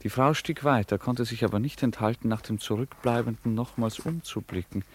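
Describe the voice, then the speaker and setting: A man narrating a literary radio play in a measured, formal way. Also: mid-range voice, slight background noise, lightly acted.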